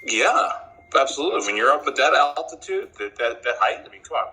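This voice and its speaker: An American man with a mid-range voice and a bright, forceful tone. He teases and quizzes the others in loose, slangy banter. It is a casual group chat. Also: medium pitch, big pitch swings, a little fast, low-quality recording. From United States. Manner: teasing, quizzing others with a laugh.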